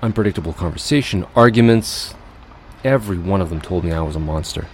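A man playing a cold, fastidious, obsessive recluse in an audio drama monologue, speaking in a precise, deliberate, brooding way. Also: low-pitched, animated, a little hiss or hum. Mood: tormented, confessional. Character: cold, fastidious, obsessive male recluse confessing monstrous urges.

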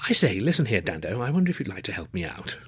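A man voicing an excitable, nervy young Edwardian student, chummy and gushing, with an upper-class English accent. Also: fairly low pitch, fast talker, breathy edge, exaggerated voice. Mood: nervy, eager. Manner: gushing, stammering, old-fashioned slang.

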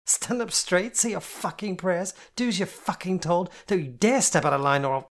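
A woman's voice: moderate pace, creaky, darkish tone. A woman voicing a drunk, tearful young woman who screeches in fury during a fight. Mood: screeching. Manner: shrill, screeching, sneering.

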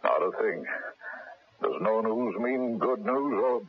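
An actor playing a reserved, wealthy father in a radio mystery, speaking in a measured, polite, rather stiff way. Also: on the low side, lively intonation, husky, full character voice. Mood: reserved, concerned. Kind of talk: 1970s radio mystery acting. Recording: narrow-band, noisy old radio recording.

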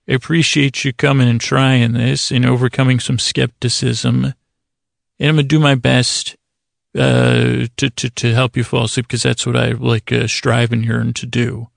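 A man hosting a podcast with a rambling bedtime monologue, goofy and halting, interrupting himself in a creaky, gravelly voice. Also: mid-range voice, little pitch movement, dry recording, clean studio sound. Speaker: podcast host.

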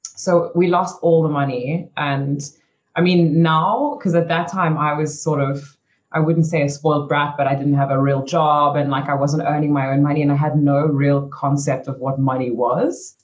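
A woman's voice: deep voice, fairly even intonation, moderate pace, dull audio. Chatty young woman recounting her story. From South Africa. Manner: chatty and frank, many "you know" and "like" fillers. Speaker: racing driver, interview guest. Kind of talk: candid storytelling in an interview.

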